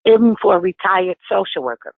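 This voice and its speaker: Lady in her 60s pressing her point hard, gravelly voice, thin phone line. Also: medium pitch, animated, fairly quick, dry recording. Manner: forceful, insistent, talks over others. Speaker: caller on an activist conference call. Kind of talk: impassioned phone call.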